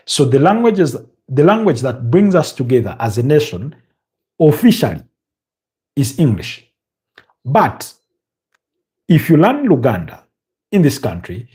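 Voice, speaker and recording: A man with a Ugandan accent hosts a casual live football update and chats with viewers, talking off the cuff, with frequent fillers and pauses to recall names. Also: mid-range voice, dark tone. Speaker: host of a football news livestream. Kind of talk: casual live commentary reading viewer comments.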